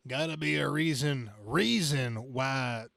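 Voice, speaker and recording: A man's voice: medium pitch, slow pace, creaky, fairly bright tone, a little hiss or hum. A man voicing a folksy, good-old-boy trucker superhero in an amateur comic-book reading. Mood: folksy, wry.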